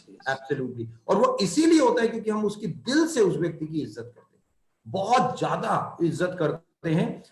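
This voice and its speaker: A middle-aged man giving an earnest motivational talk to distributors, stressing points by repeating phrases. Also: high voice. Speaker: company executive speaking to distributors. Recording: echoey room.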